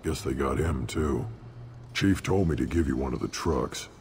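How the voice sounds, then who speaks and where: An actor playing a surly, blunt alien warrior in a video game, gruff and dismissive, with a deep gravelly voice. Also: male voice, moderate pace, darkish tone. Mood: gruff, matter-of-fact. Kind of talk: video game character acting. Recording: long reverb, clear background noise.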